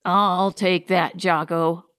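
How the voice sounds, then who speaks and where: A woman narrator plays a tall male outlaw leader in a space audiobook. He gives curt orders with slow, deliberate menace, in a clean, dry voice. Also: fairly low pitch, level tone, a bit bright, clean tone, no room sound. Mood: commanding. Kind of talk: audiobook character voice.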